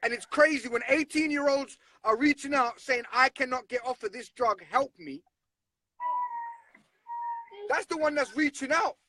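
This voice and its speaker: A man's voice: very high-pitched, moderate pace, long pauses, bright, forceful tone, dry recording. A man talking earnestly and bluntly in a casual conversation, often repeating himself.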